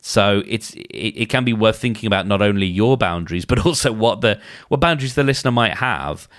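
A man with a hoarse, somewhat low voice hosts a solo podcast in a relaxed, chatty way. He thinks out loud and often doubles back mid-sentence. He speaks close to the microphone in a dry, dead room. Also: fairly quick, no echo. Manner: chatty, thinking aloud with frequent restarts. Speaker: podcast host. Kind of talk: casual solo podcast advice.

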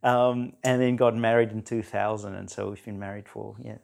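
A man in his forties from South Africa with a South African accent, chatting casually as a podcast guest with a relaxed, rambling manner full of fillers. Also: on the low side, moderate pace, creaky. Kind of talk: casual conversation.

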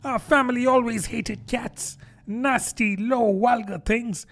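A man voicing a small, touchy male mouse in a storybook, shrill, passionate and indignant. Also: fairly even intonation, slow pace, a bit bright, exaggerated voice. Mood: trembling indignation. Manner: indignant, emphatic. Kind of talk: storybook character voice.

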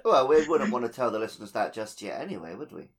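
A man speaks as a podcast co-host in a casual, playful manner. His pitch moves around a lot and his voice often crackles into fry, giving his chatty, jokey storytelling an animated feel. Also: quick pace. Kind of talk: casual chat, telling anecdotes.

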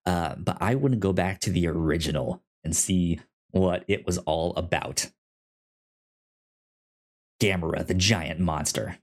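A man's voice: deep voice, measured pace, long pauses. A man chatting casually as a podcast co-host, often stammering and repeating sounds and the starts of words. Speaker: podcast co-host pitching films and comics.

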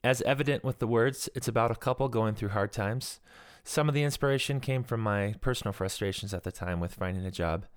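A young adult man with a soft voice, hosting his own music podcast and casually explaining the songs he plays. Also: on the low side, a little fast, few pauses, no room sound, clean studio sound. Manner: relaxed, explanatory, soft-spoken. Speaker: podcast host and songwriter. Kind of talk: casual spoken introduction to a song.

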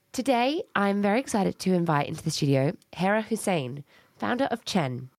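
A woman's voice: medium pitch, a little mellow, no echo, clean studio sound. A woman hosting a podcast, reading a scripted introduction and asking interview questions with enthusiasm. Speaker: podcast host.

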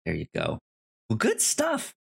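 A man co-hosting a podcast in casual movie chat, speaking haltingly with frequent repeated words and false starts. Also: fairly high pitch, huge pitch swings, creaky, husky. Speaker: podcast co-host.

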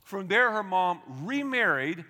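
A man, preaching a sermon in an energetic, conversational way with asides to the congregation. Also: high voice, unhurried. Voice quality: loud voice. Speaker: pastor. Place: large church auditorium. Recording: long hall reverb.

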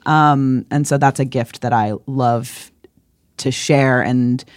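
A woman's voice: very deep voice, steady tone, slow pace, no room sound, studio quality. A woman co-hosting a podcast who is a singer, talking expressively and laughing easily in casual chat.

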